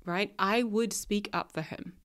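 A woman's voice: medium pitch, a little slow, a bit bright. A woman with a New Zealand accent talks straight into a podcast mic in a frank, chatty way. Her voice is still a bit nasal from a cold. She swears now and then and laughs easily. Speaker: podcast host. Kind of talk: casual podcast monologue.

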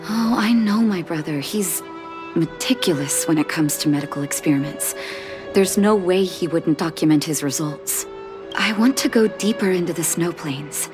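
An actress playing a composed, determined woman medic and rebel leader in a video game, speaking in a measured, earnest way. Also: on the low side, music in the background, close to an everyday voice. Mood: focused, pondering. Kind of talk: scripted video game voice acting.

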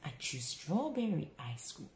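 A woman reading a children's bedtime story aloud, with expressive, dramatic phrasing and drawn-out words. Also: deep voice. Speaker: picture book reader. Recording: roomy echo, faint background noise.

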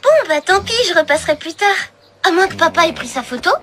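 Very high-voiced sensible schoolgirl. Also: expressive, fast, background noise. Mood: wry. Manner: earnest, mildly exasperated. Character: sensible, persistent young schoolgirl, big sister. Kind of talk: French cartoon dub acting.

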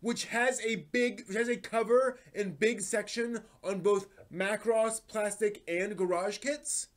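A man's voice: sits high, fairly even intonation, unhurried. A middle-aged man giving an exaggerated, indignant dramatic reading with heavy emphasis. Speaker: host reading old forum posts. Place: home room lined with bookshelves.

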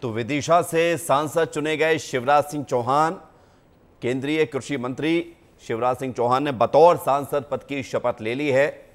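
A male news anchor speaking in measured sentences with frequent hesitation pauses. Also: mid-range voice.

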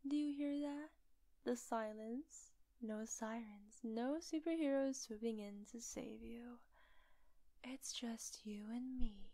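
A teasing female kidnapper acted by a woman in an intimate audio roleplay. Her voice is fairly high but mellow, with a gravelly creak under the soft whisper. She sounds smug and coaxing, calmly in control. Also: no room sound, full character voice. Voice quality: soft, breathy, often whispery. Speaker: voice actor.

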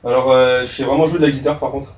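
A young football player revealing facts about himself in a casual guessing game, playful and teasing. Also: male voice, mid-range voice, level tone, fast talker, roomy sound, distant mic, slight background noise, low-quality recording. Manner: playful, teasing his audience.

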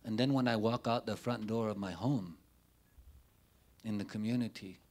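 A middle-aged man telling personal stories as a panelist at a public forum, in a deliberate, halting way with short phrases and pauses, into a handheld microphone. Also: on the low side, a little mellow. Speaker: panelist speaking at a community forum. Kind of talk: unscripted public speech, personal storytelling. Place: indoor forum room, before a banner. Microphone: handheld microphone held near the mouth.

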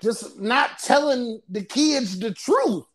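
A man's voice: extremely high pitch, lively intonation, slow pace, fairly bright tone. A man co-hosting a podcast, talking loosely and laughing often. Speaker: podcast co-host. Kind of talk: casual podcast chat.

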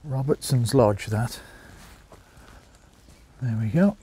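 A man's voice: medium pitch, takes it slowly, dark tone, breathy edge. A man with a Birmingham accent narrating a walking tour outdoors, chatting to viewers and calling them folks as he films with a handheld phone. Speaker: vlogger. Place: outdoors, walking a small seaside town.

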